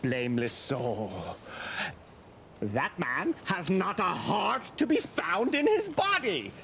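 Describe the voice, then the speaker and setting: A man's voice: high voice, sing-song, slow pace, vocal fry, fairly bright tone, slight background noise, heavily acted. An actor playing an eccentric, pious old doomsayer in a video game, rambling theatrically and drawing out his words. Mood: amused, whimsical. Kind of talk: dramatic video game acting.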